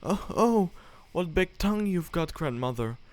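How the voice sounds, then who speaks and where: A man doing a high, lilting little girl. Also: expressive, measured pace, a little mellow, no room sound. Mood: innocent. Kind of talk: storybook read-aloud.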